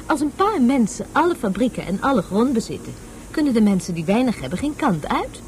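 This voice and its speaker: A woman's voice: on the high side, big pitch swings, brisk, a little mellow, slight background noise. An actress playing a patient woman tutor in a radio play, explaining things didactically as if to a child. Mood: instructive. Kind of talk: Dutch radio play acting.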